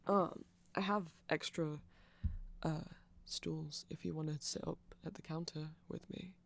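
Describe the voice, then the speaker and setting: A nerdy vampire shopkeeper played by a performer chats in an ASMR roleplay with a gravelly fry in the voice. The delivery is awkward and apologetic, full of stammers and rambling half-sentences, polite throughout. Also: mid-range voice, fairly even intonation, measured pace, a bit bright, a little hiss or hum. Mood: nervously friendly. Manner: hesitant, flustered, stammering, apologetic.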